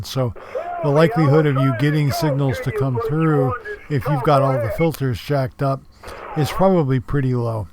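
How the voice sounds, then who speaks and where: A man giving an informal, explanatory product review in a casual narrating style. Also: a little high.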